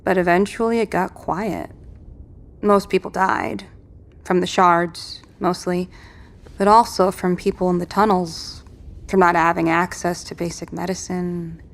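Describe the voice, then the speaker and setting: A woman's voice: fairly low pitch, steady tone, unhurried. A woman playing a determined, world-weary apocalypse survivor in an audio drama, speaking in a terse, matter-of-fact and commanding way. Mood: grim, matter-of-fact.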